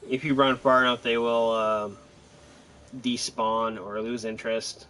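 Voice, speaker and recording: A man's voice: medium pitch, level tone, a little slow, fairly bright tone, clear, resonant tone. A man giving casual, rambling commentary on his own video game playthrough, thinking aloud as he goes. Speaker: gamer narrating own playthrough.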